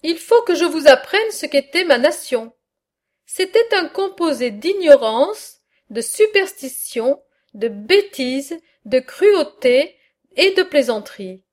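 A bold, mocking old-world satirist speaks in a high, unhurried voice, as a woman reads his part. He weighs each phrase with measured earnestness. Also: big pitch swings, exaggerated voice. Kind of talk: reading a philosophical dialogue aloud.

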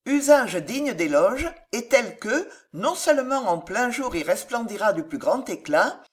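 Storybook role: fervent, eccentric preacher-like man, grave and declamatory, very animated pitch female narrator performing. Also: a little slow. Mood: solemn, questioning. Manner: exhorting, declamatory. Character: solemn, impassioned moralizing eccentric man begging for the poor. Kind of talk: audiobook character voice.

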